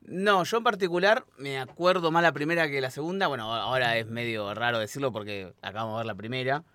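A man with a Rioplatense Argentine accent co-hosting a film podcast in a relaxed, rambling, teasing way. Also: mid-range voice, fast talker, clear, resonant tone. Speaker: podcast co-host. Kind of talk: casual film podcast chat. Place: studio.

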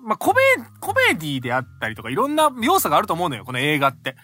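A man's voice: on the high side, huge pitch swings, racing, bright, forceful tone, soft background music. A man with a Kansai accent, co-hosting a casual podcast chat and protesting with laughs. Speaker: podcast co-host.

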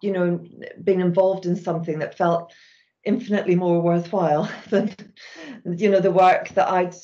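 A middle-aged woman with a Scottish accent, answering interview questions in a measured, reflective way as a charity's chief executive. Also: lowish voice, warm tone, muffled recording. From Scotland. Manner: measured, reflective, with frequent restarts.

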